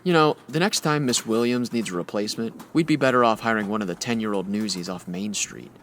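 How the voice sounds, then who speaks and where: An actor playing a wry, easily exasperated 1940s newspaper photographer in a radio comedy. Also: male voice, on the low side, fairly quick, a bit bright, slight background noise, close to an everyday voice. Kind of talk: old-time radio drama acting.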